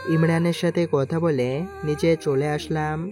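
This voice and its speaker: A man's voice: fairly high pitch, fairly even intonation, a little slow, a little mellow. A man narrating a scripted first-person story, voicing its dialogue as he goes. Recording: music clearly behind the voice. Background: music.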